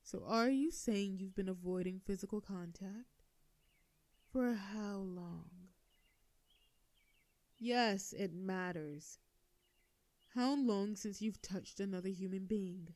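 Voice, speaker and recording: A woman's voice: medium pitch, darkish tone, close to an everyday voice. A woman playing a sardonic telepathic supervillain in a scripted audio drama, with a theatrical, teasing way of drawing out her words. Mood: gentle, commanding. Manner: theatrical, taunting, drawn-out words. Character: sardonic female telepathic supervillain, menacing yet softening.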